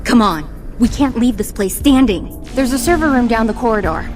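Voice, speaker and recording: An actress playing a brash, no-nonsense young mutant rebel in a video game, brisk and blunt in her delivery. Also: a little high, animated, close to an everyday voice. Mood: determined. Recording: loud game music behind. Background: game music.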